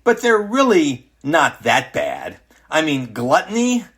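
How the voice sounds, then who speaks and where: A petulant president-for-life in a radio comedy, raspy, pitch all over the place, brags and cuts people off. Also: male voice, wildly swooping pitch, slow, a bit bright, exaggerated voice. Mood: smug. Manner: pompous, dismissive, interrupting. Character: boastful, petulant self-crowned ruler and president for life on trial. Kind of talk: satirical radio drama acting.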